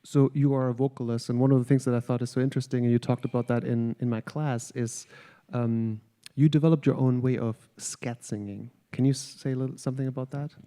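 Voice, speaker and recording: A man's voice: medium pitch, fairly even intonation, brisk, dark tone. A man hosting an onstage interview with guest musicians, speaking in a friendly, hesitant way with many ums and uhs. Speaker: host, jazz studies director.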